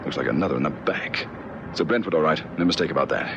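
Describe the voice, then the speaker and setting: Deep-voiced police detective. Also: male voice, fast talker. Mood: tense, controlled. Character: gruff commanding police detective sergeant on night patrol. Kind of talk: vintage radio drama acting. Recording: old radio recording, vehicle noise.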